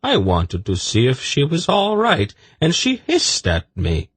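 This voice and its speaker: A mild, earnest young man, voiced by a male audiobook narrator, talks softly and slowly, raised in pitch. The close, dry voice has a slight husk. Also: wildly swooping pitch, husky, no room sound, heavily acted. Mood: concerned. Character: gentle young man who keeps a snake.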